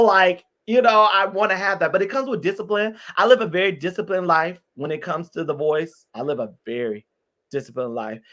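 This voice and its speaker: A man in his thirties from Detroit, a guest singer chatting in an interview, expressive and animated. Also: a little high. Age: 30s. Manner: lively, expressive, swings from loud exclamations to soft asides. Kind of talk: casual interview chat.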